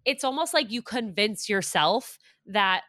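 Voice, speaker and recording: A woman's voice: medium pitch, expressive, a little slow, fairly bright tone, no echo, clean studio sound. A young woman hosting a podcast, talking frankly and with emphasis in a casual interview. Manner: frank and emphatic, mocks bad advice. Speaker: podcast host.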